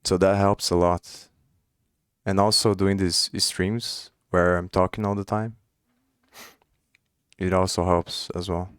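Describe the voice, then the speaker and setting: A man's voice: sits low, level tone, slow, pauses often, smooth, dry recording, clean studio sound. A young man from Brazil with a Brazilian Portuguese accent, speaking English as a second language, chatting casually and thinking aloud while coding on a livestream. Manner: thinking aloud, restarts and trailing phrases. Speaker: coding streamer.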